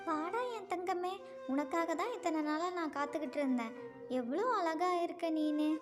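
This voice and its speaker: A woman's voice: extremely high pitch, moderate pace, music in the background, voice pushed up. A woman voicing a doting, protective mother turtle in a children's bedtime story, tender and cautioning in manner.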